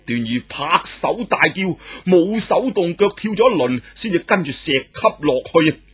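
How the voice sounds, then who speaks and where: A man telling a martial arts tale in traditional storytelling style, animated and exclamatory with dramatic pauses. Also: on the high side, husky.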